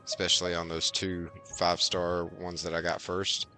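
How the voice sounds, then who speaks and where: A man's voice: low voice, monotone, moderate pace, bright, forceful tone. A man giving casual live game commentary as a streamer, musing aloud and answering his chat. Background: game music.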